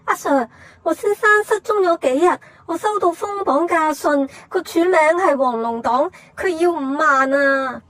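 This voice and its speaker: Very high-pitched, anxious Hong Kong wife. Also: female voice, unhurried, fairly bright tone, no echo. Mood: worried, tearful. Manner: anxious, pleading. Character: distraught wife of a kidnapped man. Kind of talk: Cantonese radio drama acting.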